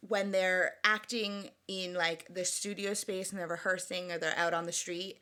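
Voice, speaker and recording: A woman's voice: lowish voice, flat delivery, normal speed, sharp tone. A woman co-hosting a podcast in casual chat, giggly and chatty, often restarting her sentences. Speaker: podcast co-host.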